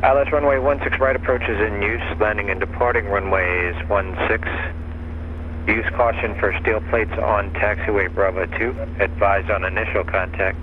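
A man's voice: fairly low pitch, steady tone, moderate pace. Male airport information announcer, phone-like radio band with faint noise, recorded bulletin delivery. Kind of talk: recorded aviation weather broadcast.

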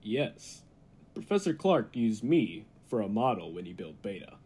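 A man's voice: fairly low pitch, sing-song, a little slow, a little mellow, slightly rough, exaggerated voice. A man playing a confident laboratory scientist who explains things dramatically in an old-time radio play. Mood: earnest, ominous. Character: confident male laboratory scientist, eager and unflinching.

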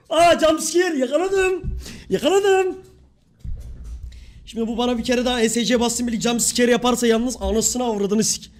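A man live streaming games with excitable, sweary commentary, often repeating his words. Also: extremely high pitch, measured pace, long pauses. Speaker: game streamer.

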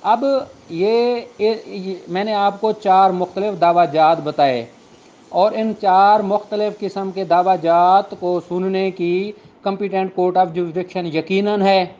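A man's voice: high-pitched, steady tone, takes it slowly, a little mellow. A man with a Pakistani accent lecturing on law in a didactic, explanatory way, mixing in English terms. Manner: didactic, switching between Urdu and English legal terms. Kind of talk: explanatory lecture, reading statute aloud and explaining it. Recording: dull lo-fi recording.

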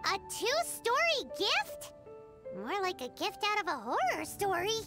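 A woman voicing a tiny, childlike fairy companion in an anime game, squeaky, blunt and sassy. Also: huge pitch swings, measured pace, full character voice. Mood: unimpressed, teasing. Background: music.

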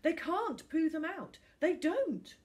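A woman's voice: high-pitched, big pitch swings, unhurried, pitched above the performer's own voice. A woman voicing an earnest young boy, pleading insistently in an audiobook story. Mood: earnest, upset. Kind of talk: audiobook character acting.

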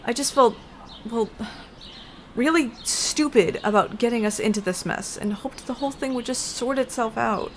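Woman acting as higher-voiced worried young wife. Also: normal speed, a little hiss or hum, lightly acted. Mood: ashamed. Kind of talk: earnest comic dub acting.

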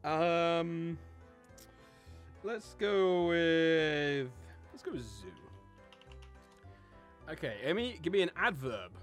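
A man hosting a casual word game with friends, chatting in an animated, jokey way. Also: fairly high pitch, very slow, pauses often, soft background music. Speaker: host running a word game. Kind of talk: casual chat with friends in a group gaming session.

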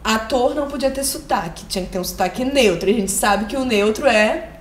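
A woman's voice: a little high, fairly quick, echoey room. A young woman hosting an interview in a living room, talking conversationally and affirming her guest often. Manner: conversational, frequent affirming backchannels. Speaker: host. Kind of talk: casual interview chat. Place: sunny living room, seated on a sofa.

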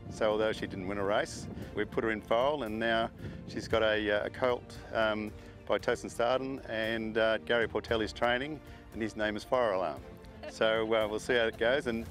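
Man, middle-aged, lowish voice, relaxed, many ums and restarts. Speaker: horse farm owner. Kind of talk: interview, casual storytelling.

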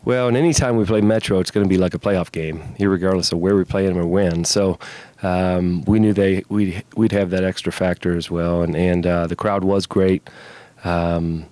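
A middle-aged man speaks as a basketball coach in a postgame interview. His voice is low and fairly flat in pitch, with a measured, conversational delivery. The sound is dry, taken on a handheld microphone. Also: dry recording. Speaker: college basketball head coach. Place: indoors in front of a media backdrop. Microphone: handheld mic held by interviewer.

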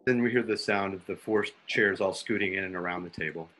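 A man's voice: sits low, brisk, fairly bright tone. A man narrating stage directions for a radio drama in a descriptive storytelling style. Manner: descriptive, sounding out effects. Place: dim home room, video call. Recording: faint background noise on video call.

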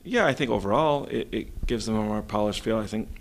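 A man in his thirties from Los Angeles, a game programmer answering interview questions casually in a small office room, thoughtful and halting with many fillers, into a handheld mic. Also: fairly low pitch. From Los Angeles, USA. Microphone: handheld mic held by interviewer.

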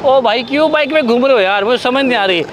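High-voiced joking vlogger man. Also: lively intonation, fast, a crowd in the background. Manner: playful wisecracks and short prompts. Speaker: vlogger host. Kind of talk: joking casual chat. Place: outdoor used car lot.

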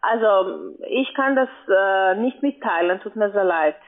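A woman's voice: mid-range voice, animated, measured pace, over a noisy crowd. A woman working as a call center agent, speaking formally and insistently in a sales call. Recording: tinny band-limited phone line.

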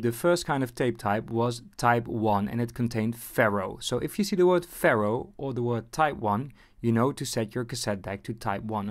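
Male how-to presenter, mid-range pitch. Casual step-by-step narration with a wry, informal touch.

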